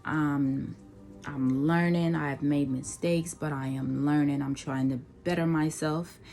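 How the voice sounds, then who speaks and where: A woman in her thirties from Nigeria giving a personal introduction to her viewers, talking slowly and deliberately with many pauses and ums. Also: sits low, steady tone, gravelly, dark tone, soft background music. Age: 30s. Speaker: vlogger. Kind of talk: casual personal introduction to camera.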